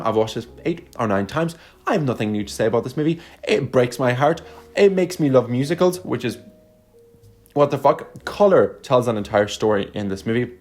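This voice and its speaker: A man's voice: mid-range voice, darkish tone. Effusive young man chatting about his favorites. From Lithuania. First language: Russian. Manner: effusive, sweary, emphatic. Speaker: YouTube film reviewer. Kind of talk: casual video chat.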